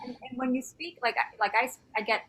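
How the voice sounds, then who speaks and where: A woman's voice: a little high, fairly even intonation, a little fast, fairly bright tone, a little hiss or hum. A woman author from Miami, chatting casually on a video call, full of "like" fillers and slipping into Spanish words. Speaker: author guest.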